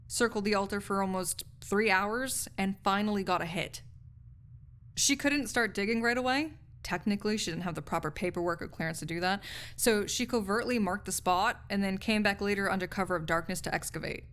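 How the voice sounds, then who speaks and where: A woman's voice: mid-range voice, a little fast, a bit bright, close to an everyday voice. A woman playing a snarky, skeptical university research assistant in an audio roleplay, speaking articulately in a conversational, lecturing way with dry asides. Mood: intrigued, explaining.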